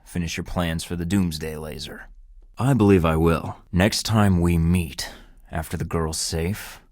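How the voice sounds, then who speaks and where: A deep-voiced, cocky American spy. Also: male voice, steady tone, measured pace, darkish tone, no room sound, studio quality. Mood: threatening. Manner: wisecracking, flirty and menacing by turns. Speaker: game protagonist. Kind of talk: video game voice acting.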